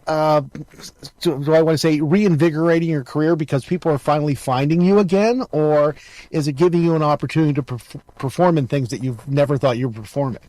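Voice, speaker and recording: A man's voice: a little high, a little fast, warm tone, no echo, poor audio. A man hosting a casual interview, circling back and rephrasing as he goes. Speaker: interview show host.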